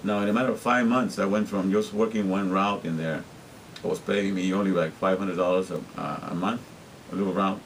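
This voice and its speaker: A man's voice: deep voice, fairly even intonation, fairly quick, gravelly, a little hiss or hum, rough recording. A middle-aged man from Guatemala, talking earnestly and repetitively in a rambling personal monologue, often restarting his sentences. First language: Spanish. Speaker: vlogger.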